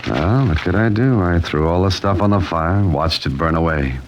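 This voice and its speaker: An actor playing a wry, cool-headed amateur sleuth, with dry, clipped delivery in the style of an old-time radio mystery. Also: male voice, low-pitched, expressive, normal speed, muffled recording, background noise. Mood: tense, determined. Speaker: narrator and lead character. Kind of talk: old-time radio mystery acting, hardboiled first-person narration.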